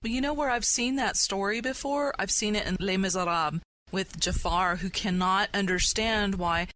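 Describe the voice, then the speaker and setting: A woman's voice: medium pitch, normal speed, a bit bright, dry recording, poor audio. A woman hosting a conversational panel discussion in an earnest, reflective way. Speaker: podcast host. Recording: noticeable background noise.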